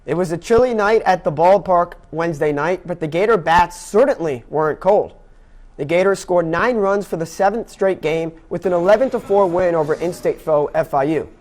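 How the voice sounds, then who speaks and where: A man's voice: sits high, normal speed, a little mellow. A young man delivering sports news as an anchor, in a scripted broadcast style. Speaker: sports anchor and play-by-play announcer. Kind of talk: scripted sports newscast and baseball commentary.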